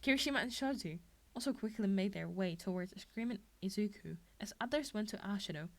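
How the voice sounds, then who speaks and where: A woman's voice: mid-range voice, brisk. A woman reading a scripted fan fiction story aloud as its narrator. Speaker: podfic reader.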